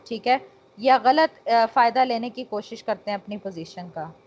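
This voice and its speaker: A woman with a Pakistani accent giving an instructional law lecture point by point, mixing in English technical terms. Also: a little high. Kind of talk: exam-prep lecture, explaining point by point. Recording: dull, lo-fi lecture recording.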